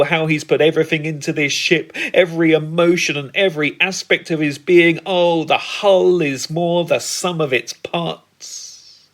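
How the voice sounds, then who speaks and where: A British man delivering scripted comic poetry as a spoken word performer, with a deliberate, theatrical and wry manner. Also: on the high side, fairly bright tone. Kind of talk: scripted comic poetic narration.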